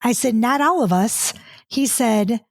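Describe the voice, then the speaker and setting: A woman's voice: fairly high pitch, measured pace, no echo, clean studio sound. A woman, hosting a casual solo podcast. She talks in an exasperated, chatty way, stumbles over restarts and reads listener comments aloud before answering them. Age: 50s. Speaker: podcast host and dating coach.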